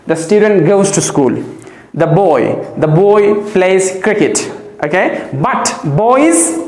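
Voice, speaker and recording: A man's voice: sits high, a little mellow. A man giving a classroom grammar lesson as a teacher, repeating key phrases and checking that pupils follow. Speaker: English teacher. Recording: echoey classroom.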